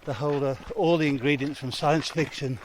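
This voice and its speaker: A man's voice: on the high side, fairly even intonation, a little fast, slight background noise. A man, a guest musician, chatting casually and laughing often during an interview on an outdoor walk.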